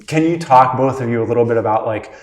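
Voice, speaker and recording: A man's voice: mid-range voice, quick pace. A man hosting a casual interview, asking questions in a loose, rambling manner. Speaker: host. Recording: echoey room sound.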